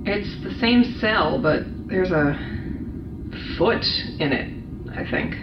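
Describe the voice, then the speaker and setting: A woman's voice: fairly low pitch, animated, slow. A woman playing an uneasy, foul-mouthed convict test subject in her thirties, hesitant and blunt, in an audio drama. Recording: dull, echoey metal chamber with clear noise.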